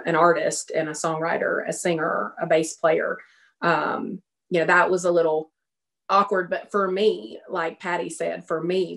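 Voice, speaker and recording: A middle-aged woman on a video call, talking casually as a panelist, halting and soft with many fillers. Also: deep voice. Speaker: panelist, singer and bass player. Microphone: webcam on a video call.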